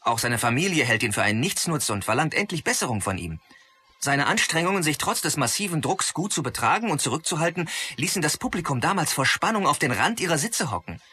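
An actor playing an enthusiastic film fan who retells martial arts movies in a radio play. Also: male voice, on the high side, sing-song, quick pace, bright, forceful tone, slightly rough, exaggerated voice. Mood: excited.

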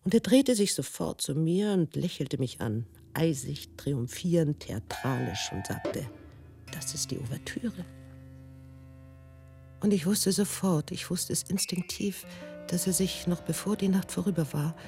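An actress in a German radio drama monologue, playing a troubled, brooding woman recalling the past in a measured, intimate way. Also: on the low side, animated, vocal fry, mellow, soft background music. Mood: uneasy, intense.

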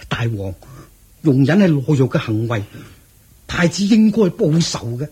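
A man's voice: a little high, big pitch swings, moderate pace, dark tone, breathy edge, full character voice. A man with a Hong Kong Cantonese accent playing a loyal, cautious retainer disguised as a guard in a radio drama, earnest and urgent. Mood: urgent, pleading.

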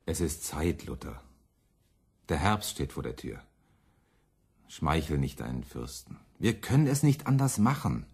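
Deep, husky firebrand preacher. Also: male voice, very animated, a little slow, pauses often, darkish tone, full character voice. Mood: urgent, defiant. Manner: accusatory, biting rhetoric. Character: fiery radical preacher, man, championing hungry peasants, defiant, scornful. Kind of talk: German radio play acting.

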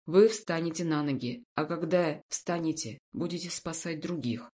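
Woman voicing a low, imperturbable commanding man. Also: slightly rough. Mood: earnest. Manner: calm, measured, authoritative. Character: imperturbable, commanding wealthy man in a formal suit.

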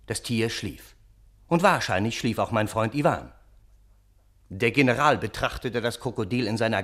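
An actor playing a wry, wordy gentleman who narrates a German radio play in measured, ornate, ironic phrases. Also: lowish voice. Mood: dramatic narration. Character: wry, wordy storytelling uncle, Russian gentleman, scheming and earnest.

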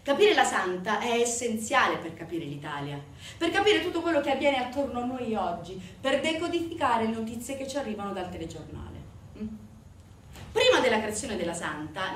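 A woman delivering a theatrical narrative monologue to an audience, emphatic, with dramatic pauses and rhetorical questions. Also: medium pitch, fairly bright tone. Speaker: stage actor performing a monologue. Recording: echoey, distant stage recording with noise.

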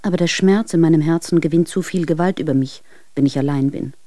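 Mellow, yearning woman in love, acted. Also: low-pitched, fast, dry recording, clean studio sound, lightly acted. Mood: sorrowful. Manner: intimate, earnest, tender. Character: devoted, yearning, sorrowful woman in love with poet. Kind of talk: German radio drama, literary letter reading.

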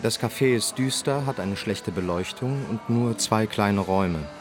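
An actor narrating a melancholy crime radio drama in a terse, detached manner. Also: male voice, lowish voice, a little slow, natural voice. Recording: music behind the narration.

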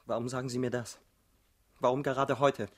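An actor in a radio play, playing an insecure young political militant who speaks tersely, with stubborn earnestness. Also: male voice, mid-range voice, little pitch movement, a little fast, natural voice. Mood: tense, stubborn. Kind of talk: German radio play acting.

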